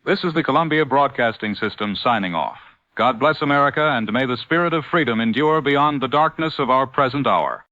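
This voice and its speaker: A man playing a solemn, defiant American wartime radio announcer, speaking gravely and formally like a 1940s broadcast. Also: medium pitch, animated, sharp tone, dry recording, highs cut off. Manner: grave, oratorical, slow formal phrasing.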